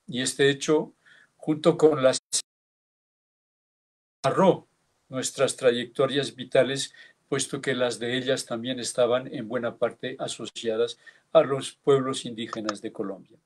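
Reflective older man recalling his past. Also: a little high. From France. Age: 70s. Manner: reflective, measured, with small hesitations. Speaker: linguist giving a tribute speech. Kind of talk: formal reminiscence, partly read. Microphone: video call.

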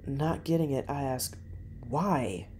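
A narrator reading a first-person true story in a measured way, pausing between short phrases. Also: mid-range voice, darkish tone, slight background noise.

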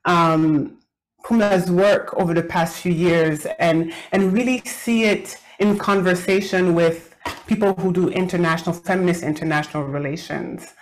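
A woman's voice: on the low side, normal speed, low-quality recording. Thoughtful French-native woman discussing scholarship. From Quebec. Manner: thoughtful, with frequent fillers and restarts. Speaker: academic discussant. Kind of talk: academic commentary on a book. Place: home office, indoors. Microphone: video call webcam.

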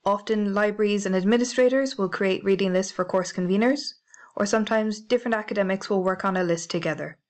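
Methodical British woman explaining software. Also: medium pitch, brisk. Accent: British English. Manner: clear, methodical, spells out codes letter by letter. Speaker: library staff trainer. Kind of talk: instructional screencast narration.

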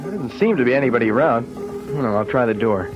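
An actor playing a jittery, joking small-town policeman in a 1970s radio horror play, hesitant and excitable. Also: mid-range voice, fast talker, loud music behind the voice, close to an everyday voice. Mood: uneasy. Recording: dull archive radio recording.

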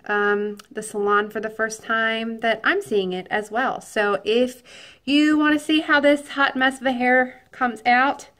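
A woman's voice: a little high, steady tone, unhurried, fairly bright tone. A chatty young woman in her twenties talks straight into a handheld camera in her living room at home. She rambles in a bubbly, casual way, with plenty of "like" and "um" between her thoughts. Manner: chatty and bubbly, many "like" and "um" fillers. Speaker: beauty vlogger. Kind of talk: casual vlog chat to camera. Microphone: camera held at arm's length.

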